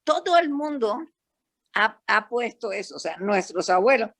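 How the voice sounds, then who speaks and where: An older woman from Panama speaks with a Panamanian accent on a talk panel. She tells her stories in an animated, emphatic way, her voice sitting in a middle pitch. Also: measured pace. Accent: Panamanian Spanish. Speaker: psychologist guest. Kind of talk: panel discussion.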